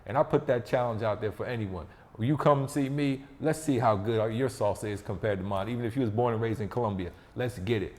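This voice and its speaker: A man's voice: lowish voice, fairly quick, few pauses, darkish tone. A man appearing as a podcast guest, telling stories in a confident, boastful way. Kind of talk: casual interview chat. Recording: long reverb.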